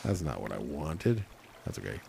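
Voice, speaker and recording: A man with a cold, hoarse and raspy, rambling through casual gameplay commentary with lots of fillers. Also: rumbling low, very animated, moderate pace, mellow, slight background noise. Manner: rambling, thinking aloud with many fillers. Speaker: let's play host.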